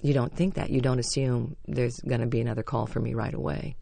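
A stage actress with a low voice, telling chatty, self-deprecating stories as a radio interview guest. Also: female voice, steady tone, fast, creaky, mellow, dry recording.

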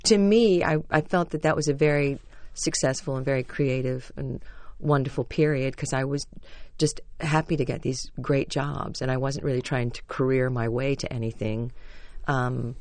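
A stage actress with a low voice, telling chatty, self-deprecating stories as a radio interview guest. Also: female voice, fairly even intonation, normal speed, few pauses, darkish tone, no room sound.

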